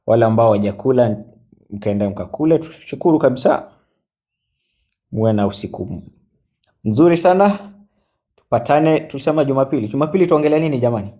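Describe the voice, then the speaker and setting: A man in his forties, chatting on a live stream as a guest, halting with many fillers and switching into Swahili. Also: mid-range voice, mellow. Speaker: guest mentor. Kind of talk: casual live-stream chat.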